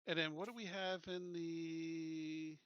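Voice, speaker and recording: A man's voice: fairly high pitch, steady tone, slow, fairly bright tone, no echo, highs cut off. A man playing a tabletop game, casually talking through tactics with the group.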